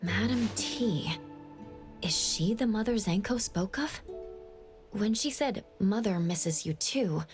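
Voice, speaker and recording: A woman's voice: mid-range voice, expressive, slow. A woman voicing an earnest, thoughtful young investigator in an anime-style game. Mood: pensive, concerned. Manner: earnest, reasoning aloud. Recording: faint music behind the voice.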